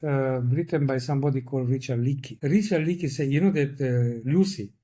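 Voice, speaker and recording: A middle-aged man from Italy, whose first language is Italian, speaks English with an Italian accent as an interview guest. He talks in a thoughtful, measured way and searches for words. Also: mid-range voice, warm tone. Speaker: guest, veterinarian. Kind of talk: reflective interview talk.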